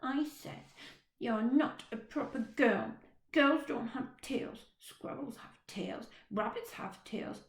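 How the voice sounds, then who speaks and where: A woman's voice: a little high, slow pace, voice pushed up. A woman doing a prim baby owl boy. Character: baby barn owl boy, timid but touchy. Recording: roomy echo.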